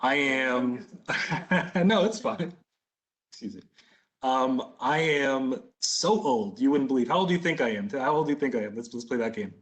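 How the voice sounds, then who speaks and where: A man's voice: medium pitch, lively intonation, moderate pace. An American man in his thirties answering questions at a community meeting in a conversational, explanatory way. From Boston, United States. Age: 30s. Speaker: license applicant, business owner.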